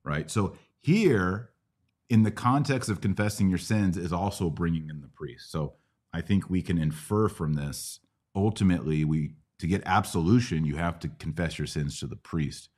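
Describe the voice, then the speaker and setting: A middle-aged American man with a notably low voice. He talks like a podcast host and teacher, explaining informally and prompting his listener with quick questions. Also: a little mellow. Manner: didactic, prompts with questions and rhetorical checks. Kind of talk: conversational live Bible Q&A teaching.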